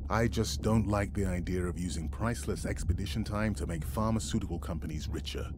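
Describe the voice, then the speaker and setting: An actor playing a cautious, quietly caring middle-aged expedition scientist in a video game, measured and deadpan. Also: male voice, sits low, close to an everyday voice. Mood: guarded. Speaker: expedition colleague on video link. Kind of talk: naturalistic video game acting. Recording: clear background noise, ambience.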